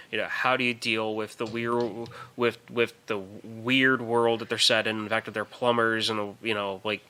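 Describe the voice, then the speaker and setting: A man's voice: lowish voice, sharp tone, smooth. A man co-hosting a podcast, discussing films casually in an emphatic, argumentative way. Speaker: podcast co-host.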